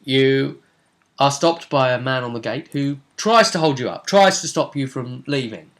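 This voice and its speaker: A man's voice: medium pitch, normal speed, fairly bright tone. A man acting as game master, chattily recapping a tabletop role-playing session in an informal, rambling way. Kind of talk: casual conversational recap.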